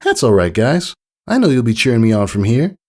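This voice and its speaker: A man performs a plucky little girl pegasus from a storybook. The voice sits far up high and is excitable, earnest and eager. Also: fairly quick. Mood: cheerful. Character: plucky young filly pegasus, eager and brave.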